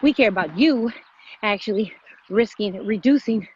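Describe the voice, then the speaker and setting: A woman's voice: mid-range voice, moderate pace, a little mellow, breathy edge. A woman hosting a motivational live vlog, speaking emphatically and repeating phrases for stress. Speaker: vlog host, fitness motivator. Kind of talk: motivational livestream chat. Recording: muffled, noisy live-stream audio.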